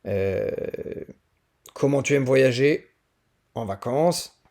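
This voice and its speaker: A man's voice: medium pitch, animated, takes it slowly, darkish tone. French man talking through a tutorial, hesitantly. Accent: French from France. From northern France. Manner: hesitant, explanatory, thinking aloud. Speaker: French-as-a-foreign-language teacher presenting resources. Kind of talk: informal screen-demonstration tutorial.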